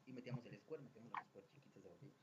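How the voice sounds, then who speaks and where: A man's voice: on the low side, rapid-fire, a little hiss or hum. A Mexican man in his twenties with a Mexican accent, co-hosting a casual podcast and telling stories with acted-out quotes. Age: 20s. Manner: animated storyteller, acts out quotes. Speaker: podcast co-host. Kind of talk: casual storytelling chat. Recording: dull lo-fi sound.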